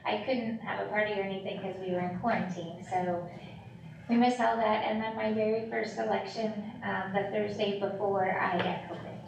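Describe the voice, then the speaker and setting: A woman with a gravelly edge to her voice, making casual small talk as an official before a meeting. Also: medium pitch, steady tone, normal speed. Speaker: county official at a board meeting. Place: meeting room. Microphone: distant room microphone. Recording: muffled, echoey, noisy distant room microphone.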